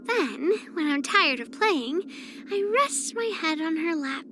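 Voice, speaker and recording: A woman's voice: sits high, big pitch swings, takes it slowly, bright, forceful tone, a little hiss or hum, heavily acted. A woman voicing a spirited, proud young swordswoman in an anime-style video game, speaking in an earnest, blunt, slightly pouty way. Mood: matter-of-fact, confident.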